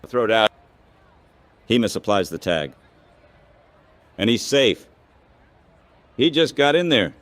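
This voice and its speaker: A man calling baseball play-by-play as an announcer, in short, measured phrases. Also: on the low side, big pitch swings, a bit bright.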